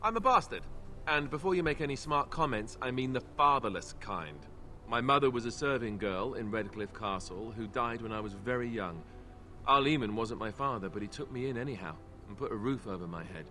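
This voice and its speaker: A wry joking young knight. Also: male voice, mid-range voice, lively intonation, a little fast, pushed and bright. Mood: earnest. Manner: joking, self-deprecating banter. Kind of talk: video game voice acting.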